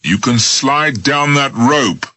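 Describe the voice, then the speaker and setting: A man's voice: on the low side, expressive, unhurried, slightly rough, no room sound, pitched above the performer's own voice, exaggerated voice. A male reader voicing a ruthless man who threatens and mocks a frightened captive. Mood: menacing. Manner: forceful, menacing, mocking.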